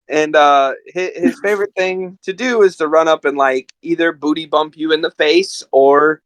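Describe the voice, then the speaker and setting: A man's voice: fairly high pitch, a little slow, fairly bright tone, dry recording. A man chatting casually as a podcast guest, telling stories in a rambling, enthusiastic way. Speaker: podcast guest and amateur voice actor. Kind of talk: casual chat.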